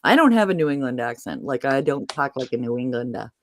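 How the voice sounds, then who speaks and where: A woman's voice: rumbling low, big pitch swings, brisk, slight background noise. A woman from Massachusetts chatting casually as host, rambling and losing her train of thought. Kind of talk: casual chat.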